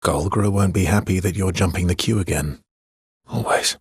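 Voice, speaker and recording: An actor playing a wry, loyal, world-weary swordsman in a video game, with a husky, breathy voice and a dry, teasing manner. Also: low voice, normal speed, no echo. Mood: wry, casual.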